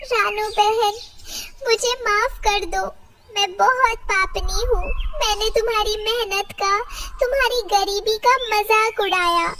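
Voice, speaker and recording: A woman's voice: extremely high pitch, takes it slowly, pushed and bright, slight background noise, voice pushed up, full character voice. A woman voicing a once-proud female crow in a cartoon, tearful and begging forgiveness. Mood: tearful, remorseful. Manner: tearful, self-reproaching.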